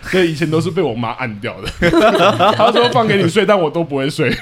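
Fast-talking Taiwanese man, casual and jokey. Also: fairly high pitch, animated, over a noisy crowd. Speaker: podcast co-host. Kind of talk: casual chat.

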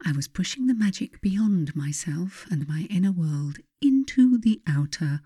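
Woman narrating an audiobook, lowish mellow voice, measured n emphatic, really dry sound. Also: animated, breathy edge, no room sound. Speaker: author reading own book aloud.

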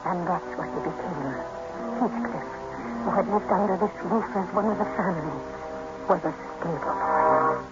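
An actress playing a devoted housekeeper who narrates a family's history in a measured storytelling manner for a 1950s radio drama. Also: female voice, on the low side, close to an everyday voice. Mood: reflective. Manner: measured, storytelling, deferential to her master. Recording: muffled old radio broadcast, loud music behind.

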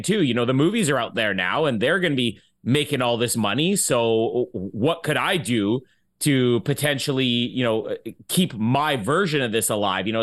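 Medium-pitched man discussing things as co-host. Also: fairly bright tone. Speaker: podcast co-host. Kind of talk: casual discussion.

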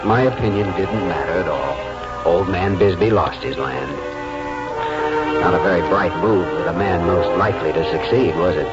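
An American actor playing an earnest, principled lawyer and devoted husband, speaking reflectively and sincerely in a 1940s radio drama. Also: male voice, deep voice, normal speed, lightly acted. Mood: wistful, reflective. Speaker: lead actor. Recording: dull 1940s radio recording, music behind.

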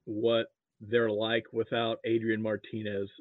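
A man's voice: fairly low pitch, completely flat delivery, unhurried, no room sound. Podcast guest: male American sports fan, conversational and hesitant, heard over a tinny, dry phone-like connection. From Nebraska, United States. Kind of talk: casual sports talk. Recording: muffled phone-like line.

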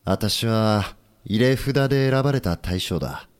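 A man acting an earnest, humble, idealistic leader of a rebel government in a historical audio drama, speaking in a measured, sincere, formal way. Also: lowish voice, animated, clear, resonant tone. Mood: earnest resolve.

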